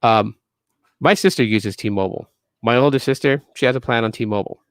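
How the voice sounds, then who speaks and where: A man's voice: medium pitch, a little fast, clear, resonant tone, no echo, studio quality. A man in his late thirties hosting a tech podcast, ranting casually to viewers with emphatic repeats and restarts. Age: 30s. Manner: emphatic, repetitive restarts, lecturing.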